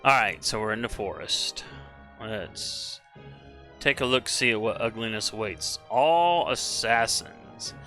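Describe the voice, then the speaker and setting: Man, casual gaming commentary, rambling, slightly hoarse, bright tone, faint music behind. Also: fairly low pitch, slow pace. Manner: rambling, self-correcting. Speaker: game streamer. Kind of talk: casual live-stream commentary.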